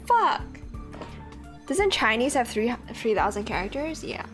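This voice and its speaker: Woman game streamer, Cantonese native, high-ish voice, loose and sweary. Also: very animated, unhurried, fairly bright tone. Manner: chatty, swears freely, laughs often. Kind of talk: casual live-stream chat. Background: faint game music.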